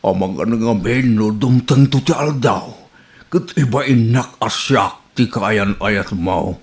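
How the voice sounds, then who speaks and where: A man's voice: on the low side, a little slow. A man acting a timid old suitor in an Ilocano radio comedy drama, hesitant and stammering, with an Ilocano accent. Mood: nervous.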